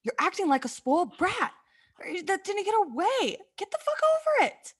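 A woman's voice: very high-pitched, huge pitch swings, moderate pace, a bit bright, exaggerated voice. A woman playing a blunt, exasperated, foul-mouthed young friend in a comedic radio play, with modern slang and sassy emphasis.

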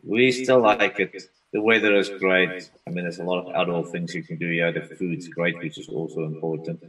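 A man whose first language is Afrikaans, a software consultant talking as a guest on a remote interview in a relaxed, rambling way. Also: low-pitched, normal speed, recorded from a distance, low-quality recording. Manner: relaxed, rambling with frequent restarts. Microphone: video call.

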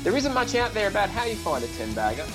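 A man's voice: high-pitched, very animated, quick pace, over music. A man co-hosting a finance podcast, introducing the show and a guest with many ums. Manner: hesitant, many ums and uhs. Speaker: podcast co-host.

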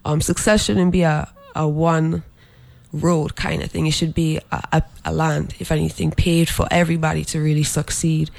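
Man, gravelly and flat, halting guest spot with lots of ums, dry sound. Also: fairly high pitch, dry recording. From Saint Croix, US Virgin Islands. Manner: halting, many filler pauses. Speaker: guest, fashion brand designer. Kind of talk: interview promoting an event.

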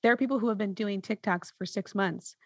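A woman's voice: mid-range voice, brisk, no room sound, studio quality. A woman podcast host talking casually in a reflective, explanatory way. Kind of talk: casual conversational podcast talk.

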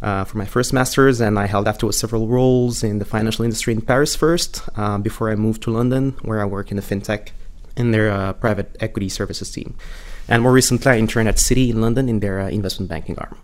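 A young man from Morocco with a fairly low voice, answering interview questions about his work. He speaks in a measured way, pausing often with small fillers as he gathers his thoughts. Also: fairly even intonation. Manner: measured, with frequent hesitations and fillers. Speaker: MBA student, guest.

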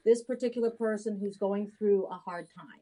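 A woman's voice: mid-range voice, normal speed, warm tone. A woman in her thirties, born in Haiti, talking candidly as an interview guest, with frequent restarts. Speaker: guest, adoption consultant. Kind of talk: casual interview conversation.

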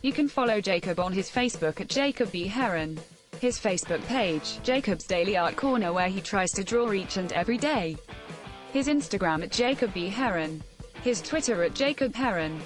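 A woman reads a scripted podcast outro in a steady, even listing tone. Her voice sits at a middle pitch, and clear guitar music plays underneath. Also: normal speed. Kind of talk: scripted read-out.

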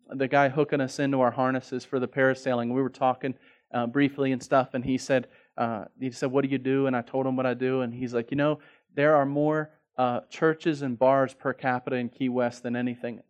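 A middle-aged man preaches at an average pitch. He talks like he is telling stories to friends and often stumbles over repeated words. He builds to loud, emphatic peaks. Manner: conversational storytelling with frequent restarts, building to emphatic peaks. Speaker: pastor. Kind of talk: sermon.

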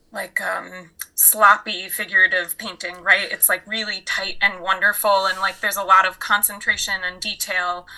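A woman's voice: medium pitch, sharp tone, low-quality recording. A woman hosting a podcast in a casual conversational interview, speaking with enthusiasm. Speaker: podcast host.

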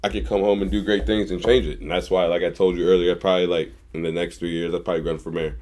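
A young man in his twenties with a very low voice talks as a podcast guest. He rambles and gets animated, circling back and repeating phrases to drive a point home. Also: fast talker. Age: 20s. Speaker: guest, local activist. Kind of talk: casual conversation.

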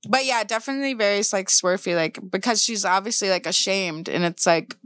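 A woman's voice: medium pitch, a little fast, a bit bright, no echo, clean studio sound. A woman in her thirties, chatting casually and profanely, full of "like" fillers. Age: 30s. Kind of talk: casual chat.

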